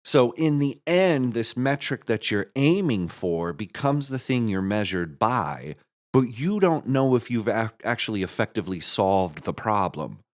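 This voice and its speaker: Low-voiced man, rambling as he explains. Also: sing-song, measured pace. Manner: rambling, thinks aloud with repeated false starts. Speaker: guest, writer and director of an audio musical. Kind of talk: casual interview answers, explaining a creative project.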